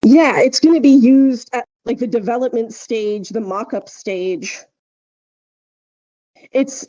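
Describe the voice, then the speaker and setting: A woman's voice: fairly high pitch, dark tone, highs cut off. A woman co-hosting a casual podcast discussion, explaining her points emphatically and often checking with a tag question. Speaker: podcast co-host.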